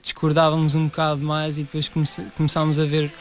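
A man's voice: on the high side, completely flat delivery, fast. A young man from Portugal's Algarve with an Algarve accent, giving casual, hesitant interview answers sprinkled with English words. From Portimão, Algarve, Portugal. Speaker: musician, interview guest.

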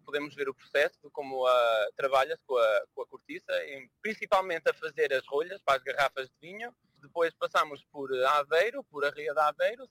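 A man's voice: fairly high pitch, sharp tone, dry recording. A man in his twenties from New Jersey, answering interview questions with frequent fillers and restarts. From New Jersey, United States. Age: 20s. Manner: hesitant, with fillers and repeated words. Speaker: interview guest. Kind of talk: radio or TV interview.

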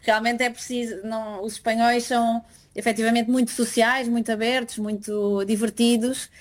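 A woman's voice: on the high side, level tone, moderate pace. A woman, a guest living in Madrid, reflecting thoughtfully on emigrant life in a conversational interview. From Portugal.

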